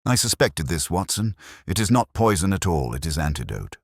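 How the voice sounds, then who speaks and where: A man's voice: sits low, very animated, normal speed, husky, no room sound, clean studio sound, full character voice. A man voicing a grave, incisive detective in an audiobook, terse, cryptic and commanding. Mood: grave, brisk. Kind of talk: audiobook character acting.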